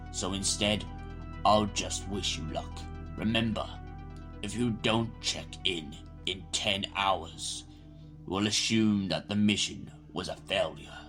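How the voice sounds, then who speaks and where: Slow, deep, imperious Lord President played by a man. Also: fairly bright tone. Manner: formal, measured, dryly patronising. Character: dry, imperious male Lord President of Time Lords. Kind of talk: audio drama acting.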